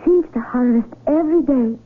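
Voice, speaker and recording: An actress playing an earnest, devoted young daughter in love, speaking gently and formally in a radio drama. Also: female voice, high-pitched, normal speed. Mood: earnest, hesitant. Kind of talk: old-time radio drama acting. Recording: noisy, thin phone-like vintage radio recording.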